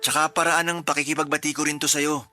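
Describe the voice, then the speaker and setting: A man playing a protective, jealous young husband in a radio drama, speaking calmly and gently persuasively. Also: a little high, fast, soft background music. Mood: tender.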